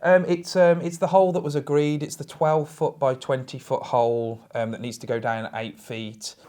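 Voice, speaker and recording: A man's voice: a little high, level tone, normal speed, darkish tone, close to an everyday voice. A man playing an earnest, bureaucratic council official on a prank call, stammering and repeating himself with polite insistence. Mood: politely insistent.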